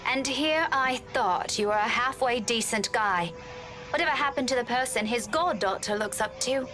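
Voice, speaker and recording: A woman's voice: a little high, normal speed, pushed and bright. A woman voicing an earnest, stubborn, self-reliant young woman in a video game. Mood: disappointed.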